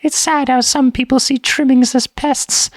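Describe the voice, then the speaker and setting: A woman's voice: high-pitched, steady tone, normal speed, dry recording. A woman playing a fond pet owner giving a sincere, chatty testimonial in a horror mockumentary. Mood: fond, earnest. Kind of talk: scripted testimonial in an analog horror video.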